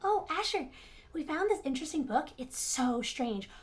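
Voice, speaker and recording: Audio drama role: polite, curious young girl played by a woman, very high voice, earnest and slightly tentative. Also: animated, moderate pace. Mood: amazed.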